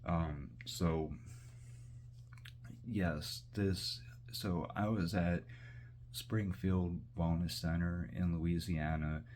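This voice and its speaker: A man's voice: low voice, steady tone, extremely slow, a little mellow, a little hiss or hum. A man talking casually to the camera in a meandering way, full of fillers and restarts, while cooking in his kitchen. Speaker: vlogger. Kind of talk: rambling casual monologue.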